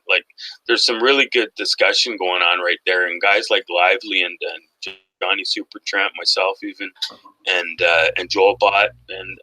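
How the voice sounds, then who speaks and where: A man with an Irish Canadian accent, talking loud and animated in a casual livestream chat. His voice is very bright and sharp, and he launches into joking, rambling rants. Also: lowish voice, poor audio. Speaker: guest.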